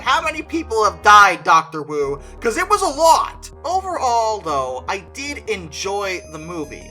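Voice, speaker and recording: A video essayist with a bright, forward voice in a middle register, talking conversationally through an opinionated film review. The delivery is emphatic and informal, with faint music underneath. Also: mid-range voice. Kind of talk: opinionated movie review commentary.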